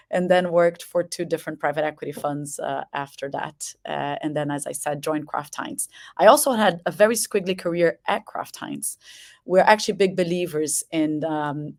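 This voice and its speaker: A woman in her forties from Brazil, a company president introducing herself as a webinar guest, speaking in a measured way with frequent fillers. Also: low-pitched. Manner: measured, with many uh and um fillers and repeated words.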